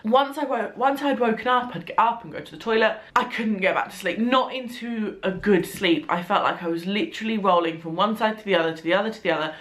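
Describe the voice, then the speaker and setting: A young woman talks to her camera in a loose, rambling way. Her nose is blocked, so her voice comes out nasal and stuffy. Her pitch sits in an ordinary middle range. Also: fast, few pauses, a bit bright. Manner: chatty, rambling, laughs now and then. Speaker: vlogger. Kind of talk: casual chat to camera. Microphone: compact camera.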